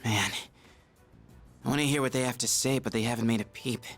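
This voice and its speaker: A man's voice: mid-range voice, steady tone, brisk, fairly bright tone, faint music behind, close to an everyday voice. A man playing an impatient, plain-spoken teenage student fighter in an anime-style game dub. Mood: frustrated.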